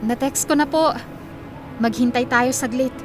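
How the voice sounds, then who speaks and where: A woman's voice: sits high, unhurried, over music. A woman playing a caring, take-charge young employer in a radio drama, commanding yet considerate. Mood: concerned.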